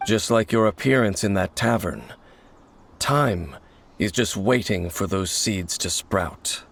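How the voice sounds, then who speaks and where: An actor playing a mysterious, grave wanderer who hunts the Abyss, speaking in a measured and cryptic way in video game voice acting. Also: male voice, fairly low pitch, slight background noise. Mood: solemn. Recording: game audio with loud music.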